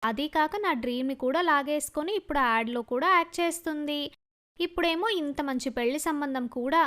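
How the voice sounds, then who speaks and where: A high-pitched, jealous young woman from a cartoon tale, acted out by a woman narrator. She is headstrong and spiteful. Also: fairly quick, a bit bright. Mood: bitter jealousy.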